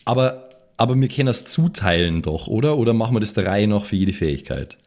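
Man, fairly low voice, casual chat, tabletop role-playing player. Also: animated, quick pace, a little mellow.

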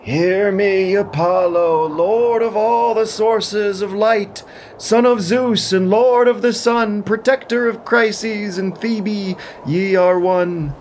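A man's voice: high voice, slow pace, darkish tone, a little hiss or hum, pitched above the performer's own voice. A man playing a pleading old priest in an epic audio drama, speaking in a formal, declamatory, imploring way. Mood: fervent prayer. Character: frightened, pleading old priest begging a king and god.

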